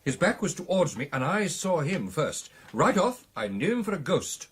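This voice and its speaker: Husky, animated English gentleman. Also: a little high, moderate pace, heavily acted. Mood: intent storytelling. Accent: British. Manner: clubby, old-fashioned upper-class phrasing. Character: genial, earnest English gentleman clubman telling a ghost tale. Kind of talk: radio drama acting.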